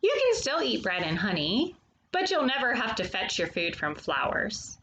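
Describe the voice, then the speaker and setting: A woman reading a children's nonfiction story aloud, addressing young listeners directly with questions and pauses. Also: mid-range voice, sing-song, a bit bright, a little hiss or hum, low-quality recording.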